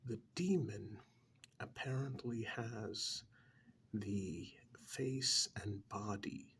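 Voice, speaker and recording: A man acting as a calm male therapist in an ASMR role-play speaks softly and very slowly. His voice often drops into a half-whisper with a gravelly creak. He is measured, frank and professional, pausing between short phrases. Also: fairly low pitch, exaggerated voice. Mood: attentive, measured. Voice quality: soft, breathy half-whisper. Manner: measured, halting, matter-of-fact, professional.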